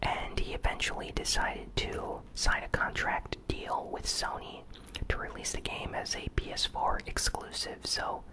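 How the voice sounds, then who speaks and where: A host whispering a casual, conversational ASMR gaming news recap in a breathy, unvoiced whisper. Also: unhurried, low-quality recording.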